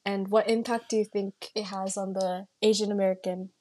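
A woman's voice: medium pitch, level tone, moderate pace, mellow. A young woman co-hosting a podcast, asking interview questions in a casual conversational style. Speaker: podcast co-host.

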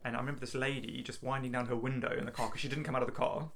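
A man with a slight South African accent hosts a relaxed interview. He talks at a brisk clip and often stumbles over the start of his questions. His pitch is middling. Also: vocal fry, a little hiss or hum. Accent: slightly South African English. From England. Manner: quick, with stammered restarts. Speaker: podcast host. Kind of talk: casual conversational interview.

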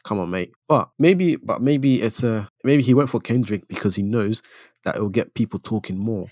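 Low guy rattling on quick on a podcast, dead dry sound. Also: darkish tone, no room sound. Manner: quick, chatty, rambling with restarts. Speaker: podcast co-host. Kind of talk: casual chat.

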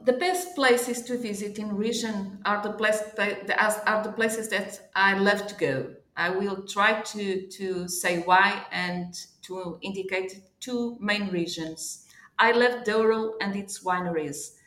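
A woman's voice: medium pitch, fairly even intonation, measured pace, fairly bright tone. Portuguese-accented woman in an echoey room. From Portugal, born in Africa. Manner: careful phrasing, searching for words. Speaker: interview guest. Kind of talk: promotional interview answer.

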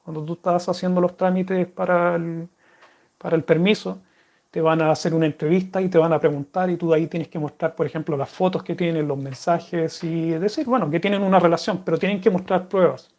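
A man's voice: fairly high pitch, fairly even intonation, fairly quick, darkish tone. A man with a Chilean accent, chatting casually to viewers and answering their questions in a rambling, friendly way. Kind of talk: casual chat to camera.

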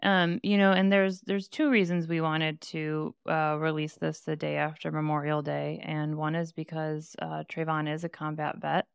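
Brief: woman podcast co-host, lowish smooth voice, chatty, dry close mic. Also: level tone, normal speed, few pauses, no echo. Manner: chatty, bubbly, lots of fillers. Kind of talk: casual podcast chat.

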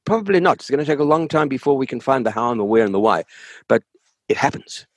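An older man with a South African accent and a husky voice, telling stories conversationally in an interview, emphatic and often repeating words as he goes. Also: medium pitch, lively intonation, fast talker, no room sound, studio quality. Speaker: interview guest, author. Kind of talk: conversational storytelling.

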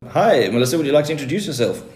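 A man's voice: medium pitch, sing-song, racing, darkish tone, slight background noise. A man hosting a podcast, moving between scripted narration and informal interviewing. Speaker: podcast host.

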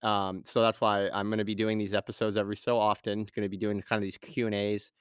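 Male podcast host, low-pitched, scratchy from a cold, casual rambling delivery, dry studio sound. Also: level tone, quick pace, clean tone, no echo. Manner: casual, rambling, with frequent fillers and restarts. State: scratchy voice from a sinus infection. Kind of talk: solo podcast Q&A.

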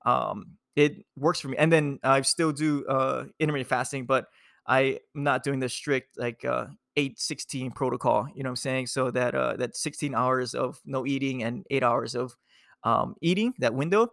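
A man's voice: medium pitch, moderate pace, creaky, fairly bright tone, no echo, clean studio sound. A young man from Hawaii, a nutrition coach, talking casually to viewers in a laid-back, rambling way full of fillers and "dude".

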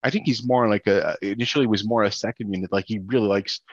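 Halting man, dull lo-fi audio. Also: on the low side, no room sound. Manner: halting, stammering, rambling delivery. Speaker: podcast co-host. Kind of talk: casual film discussion.

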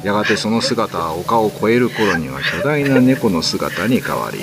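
A man's voice: lowish voice, sing-song, normal speed. A man giving dramatic scripted narration of a classical ghost story as a radio drama. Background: background music.

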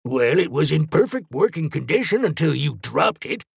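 Man playing a grumbling, raspy sardonic old sidekick. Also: a little high, big pitch swings, normal speed, no echo, full character voice. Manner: grumbling, sarcastic.

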